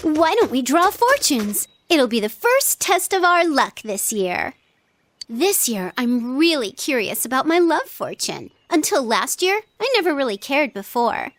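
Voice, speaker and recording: A woman's voice: high-pitched, big pitch swings, measured pace, slight background noise, exaggerated voice. A woman voicing an earnest, considerate and reserved teenage girl in an anime video game, polite and thoughtful. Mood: sincere, hopeful.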